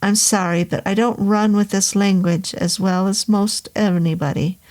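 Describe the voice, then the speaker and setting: A woman's voice: medium pitch, fairly even intonation, normal speed, a little mellow, lightly acted. A woman voicing a formal, polite middle-aged male innkeeper with a foreign accent and stiff phrasing. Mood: earnest.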